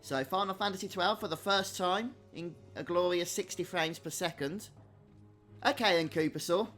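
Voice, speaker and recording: A male game streamer giving casual live commentary. His voice is a little higher than average, and he talks chattily to his viewers. Faint music plays behind him. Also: moderate pace, a bit bright.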